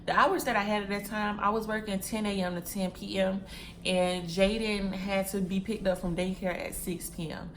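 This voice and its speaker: A woman in her mid-twenties talks casually straight to her viewers, looping back over phrases as she goes. Her pitch sits in the middle range, and faint noise hums behind her at home. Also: fairly even intonation, moderate pace. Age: 20s. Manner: chatty, repeats phrases, addresses viewers as y'all and sis; packing wigs while talking. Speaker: vlogger.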